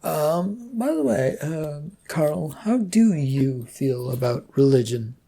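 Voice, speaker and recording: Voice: medium pitch, animated, takes it slowly, vocal fry, dark tone, slightly rough, full character voice. A storyteller playing a weary, sarcastic male office IT worker with a dry, hesitant manner. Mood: awkward, hesitant.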